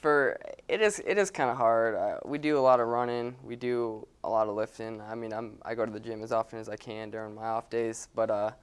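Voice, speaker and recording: A man's voice: medium pitch, fairly even intonation, a little fast, hardly any pauses, smooth. A young man talking as a guest in a casual interview, an easygoing, chatty storyteller. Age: young adult. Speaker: guest, college cheerleader.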